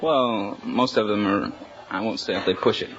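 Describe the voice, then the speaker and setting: A man's voice: on the low side, normal speed, fairly bright tone. A young American man answering as a radio quiz contestant in an earnest, plainspoken way. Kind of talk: unscripted answers to a radio host. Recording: muffled, noisy vintage radio recording. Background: studio audience.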